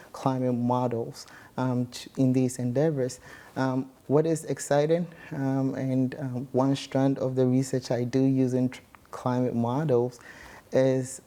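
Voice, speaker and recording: A man from Ghana, a guest scientist explaining his research in measured speech with many pauses. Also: medium pitch, mellow.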